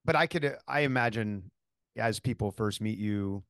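A man with a lowish voice hosts a casual interview, feeling his way through questions with fillers and restarts. The sound is dry and close. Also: normal speed, a bit bright, no room sound. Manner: hesitant, careful, many fillers and restarts.

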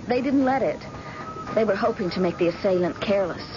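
An actress playing a young, anxious and devoted daughter with earnest, dramatic delivery in an old-time radio drama. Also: female voice, medium pitch, animated, fairly quick, lightly acted. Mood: bitter, sorrowful. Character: blind man's devoted, anxious grown daughter. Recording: muffled, noisy old radio recording.

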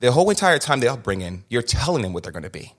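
A man's voice: medium pitch, big pitch swings, rapid-fire. A young man hosting a podcast, telling his story in a candid, emphatic way and often restarting and repeating words. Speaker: podcast host.

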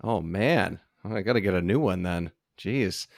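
A man's voice: low-pitched, normal speed, gravelly, no echo. Man hosting a casual podcast, dry close sound. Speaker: podcast host.

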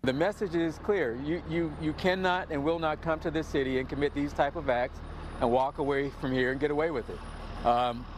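A man's voice: fairly high pitch, fairly even intonation, brisk. Firm man making a public statement. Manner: firm and emphatic, repeats himself. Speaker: city official. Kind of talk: press statement. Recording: street traffic noise outdoors.

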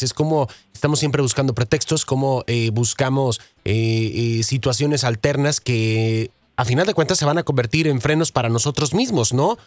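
A man's voice: medium pitch, no echo. A man with a Mexican accent hosting a radio conversation, reflectively summing up points and checking for agreement. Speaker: radio host. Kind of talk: conversational interviewing.

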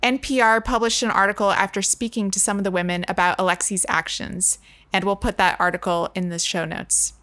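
Woman hosting a podcast, medium pitch. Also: steady tone, brisk, a bit bright. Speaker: podcast host. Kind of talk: scripted podcast introduction and friendly discussion.

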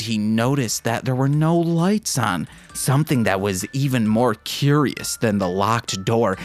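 Male podcast host, unhurried, mid-pitched, mock-sultry comic reading with self-mocking asides. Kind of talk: comedic read-aloud of fan fiction with asides.

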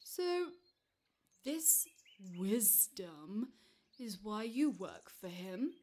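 A huge, dim, menacing storybook giant, played by a woman storyteller. The voice growls and booms. The words come slowly and loudly, as blunt demands. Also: very animated, exaggerated voice. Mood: puzzled, curious. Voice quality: growling, booming. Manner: slow, loud, demanding.

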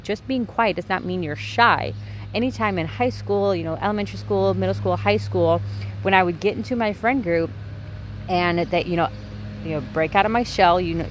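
A woman in her twenties hosting her own podcast, talking in a candid, chatty way in a soft, quiet voice. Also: on the low side, slight background noise. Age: 20s. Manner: chatty and candid, with light swearing. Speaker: podcast host. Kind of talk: casual solo podcast monologue.

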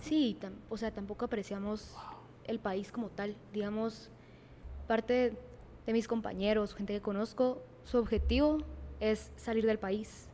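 Young woman asking questions, kinda hesitant, lots of ums, warm mellow tone. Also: medium pitch, fairly even intonation, measured pace, slight background noise. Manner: casual, hesitant phrasing with fillers. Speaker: interviewer. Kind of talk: casual interview questions.

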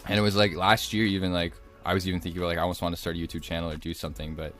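A young man around twenty co-hosting a podcast, telling things in a casual, slangy, halting way. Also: low voice, little pitch movement, a bit bright, soft background music. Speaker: podcast co-host. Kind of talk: casual, rambling storytelling.

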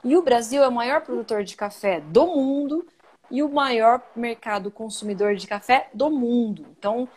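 A Brazilian woman being interviewed on a live video call, telling stories in an animated, outspoken way. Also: a little high, normal speed. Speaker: guest, coffee journalist and podcaster. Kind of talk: casual interview chat.

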